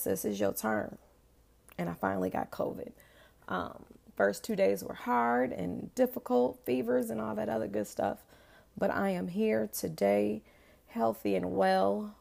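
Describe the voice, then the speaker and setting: A woman hosting her own podcast as a confidence coach, talking casually and emphatically with a hoarse, raspy voice. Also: mid-range voice, warm tone. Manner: chatty, emphatic, frequent "right?" and "um". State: hoarse, raspy voice after illness.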